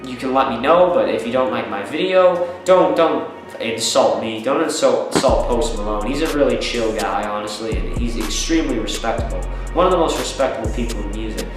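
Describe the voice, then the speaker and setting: A man's voice: mid-range voice, brisk. Young male reviewer, stuffy and congested, chatty and rambling, very echoey room, music behind. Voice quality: slightly rough voice. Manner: rambling, repeats words and restarts, upbeat. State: congested, has a cold. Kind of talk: casual video review.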